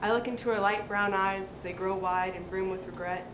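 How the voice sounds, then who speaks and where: A woman's voice: mid-range voice, steady tone, brisk. A woman giving a dramatic recitation of a short story to listeners. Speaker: reader at a literary recitation. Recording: echoey room with clear background noise.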